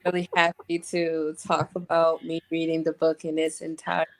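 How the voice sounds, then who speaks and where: A woman chatting casually as a panel guest, deliberate and wry in how she talks. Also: deep voice, monotone, noisy background. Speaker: panel guest on a book live show. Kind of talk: casual chat.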